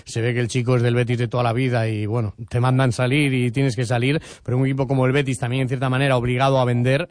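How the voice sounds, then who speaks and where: A man presents sports news on the radio in a steady, informative way. His voice is mid-pitched and the studio sound is dry and close. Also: no room sound. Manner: brisk, informative, many fillers.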